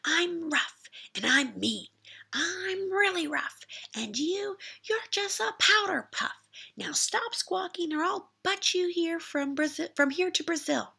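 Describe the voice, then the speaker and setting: A woman's voice: very high-pitched, lively intonation, takes it slowly, gravelly, sharp tone, voice pushed up, heavily acted. A woman voicing a mean, swaggering male billy goat who snarls and mocks. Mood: mocking. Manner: snarling, mocking.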